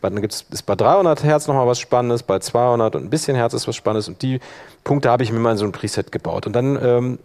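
A man's voice: medium pitch, quick pace, darkish tone. A man presenting a workshop in an informal tutorial style, thinking aloud as he demonstrates step by step.

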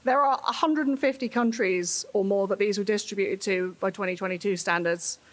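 A woman's voice: medium pitch, a little fast. A woman giving a conference talk in a wry, humorous way, laughing often.

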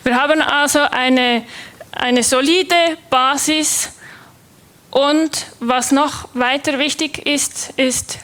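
Swiss lady dev presenting at a conference, kinda high voice, slow and halting with lots of ums. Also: steady tone, a bit bright. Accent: Swiss German. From Switzerland. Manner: hesitant, many filler sounds and restarts. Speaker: conference speaker, developer. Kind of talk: technical conference talk.

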